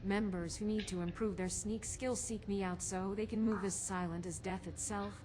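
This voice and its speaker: Casting: female actor for cool, coin-minded stealth killer in a fantasy game, mid voice, clear background noise. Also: mid-range voice, fairly even intonation, moderate pace, darkish tone, natural voice. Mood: cool, businesslike. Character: mercenary female vampire assassin, sly stealth trainer. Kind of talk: video game character dialogue.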